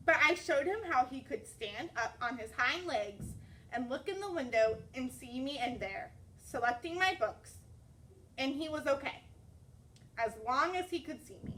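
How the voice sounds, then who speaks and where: A woman reading a children's story aloud as a teacher, expressive and deliberate. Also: high-pitched, distant mic.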